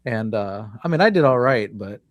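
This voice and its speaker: A man's voice: lowish voice, expressive, moderate pace, no echo. Easygoing man with a dry close sound. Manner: reads chat comments aloud, easygoing. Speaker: host. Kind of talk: casual live-stream chat. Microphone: home video-call setup.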